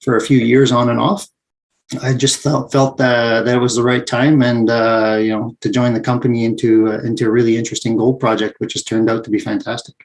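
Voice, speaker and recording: A man in his forties answering interview questions in a steady, methodical way with frequent fillers. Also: medium pitch, brisk, poor audio. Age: 40s. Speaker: guest, VP of mining and projects.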